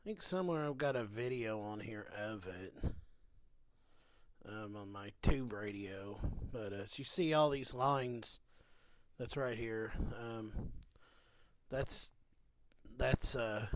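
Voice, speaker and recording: A man giving a casual, informal hands-on demonstration as a hobbyist, with folksy phrasing, close to the microphone. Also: mid-range voice.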